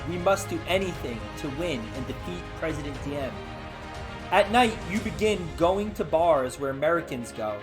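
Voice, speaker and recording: A man with a fairly high voice reads a story aloud as host. He is informal and drops chatty asides to his listeners. Faint music plays behind him. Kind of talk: casual read-aloud of an interactive book.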